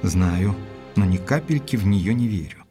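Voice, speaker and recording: An actor playing an earnest, gentlemanly doctor in an audio drama, speaking in a courteous, measured way. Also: male voice, fairly low pitch, expressive, faint music behind, close to an everyday voice. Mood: earnest concern. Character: earnest gentlemanly doctor, loyal and cautious, narrating. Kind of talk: audio drama narration and dialogue.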